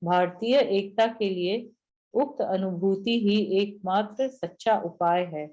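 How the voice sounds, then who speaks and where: A woman reads a spiritual passage aloud in an unhurried, measured way, then explains it to her class. Her voice is dark and mellow. It comes through a muffled, lo-fi online call. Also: medium pitch. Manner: slow, measured delivery. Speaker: class reader. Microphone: video call. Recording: dull lo-fi online call audio.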